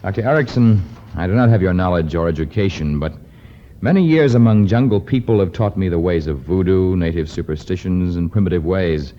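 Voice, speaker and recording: A steady jungle hero with a low, widely swinging voice. He speaks formally and deliberately in the manner of 1950s radio adventure. The old recording is muffled. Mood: grave, determined. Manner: formal, measured, deliberate speech. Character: steady, commanding jungle hero, grown man.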